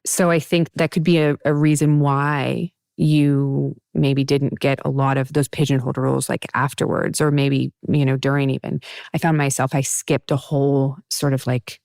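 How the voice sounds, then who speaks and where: A woman's voice: rumbling low, flat delivery, moderate pace, vocal fry, a little mellow, no echo, clean studio sound. A woman hosting a casual talk show, chatty and emphatic, thinking aloud as she talks. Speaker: podcast host. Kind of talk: casual interview chat.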